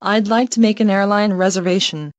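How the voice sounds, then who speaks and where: A woman's voice: mid-range voice, fairly even intonation, fairly quick, no echo. Woman reading English phrases, dry sound. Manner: careful, evenly paced, each phrase read alone. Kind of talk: slow clear phrase repetition for learners.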